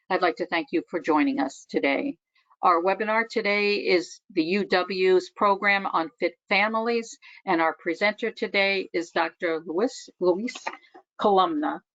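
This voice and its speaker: A woman's voice: on the low side, a little slow, a bit bright, no room sound. A woman serving as training coordinator, politely and formally introducing a webinar presenter. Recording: dry, muffled webinar audio.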